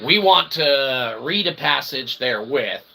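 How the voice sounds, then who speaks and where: A man hosting a philosophy show with a rambling monologue, wordy and mock-formal, in a gravelly voice with pompous archaic phrasing. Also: mid-range voice, expressive, unhurried. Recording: clearly noisy recording.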